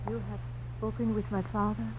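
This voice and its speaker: Young governor's daughter in love played by an actress, careful and courtly, old radio drama, dull recording. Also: female voice, medium pitch, little pitch movement, fairly quick, soft background music, natural voice. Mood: wistful. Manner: formal, careful phrasing. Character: gracious, teasing young Spanish-colonial governor's daughter in love. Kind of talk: 1950s radio drama acting.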